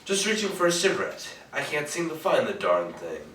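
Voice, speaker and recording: An actor playing a cool, wry private detective narrating a 1940s-style radio noir on stage, in an echoey room from afar. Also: male voice, on the high side, very animated, fairly quick, a bit bright. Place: theatre stage, recorded from a distance. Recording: echoey stage, distant mic, faint noise.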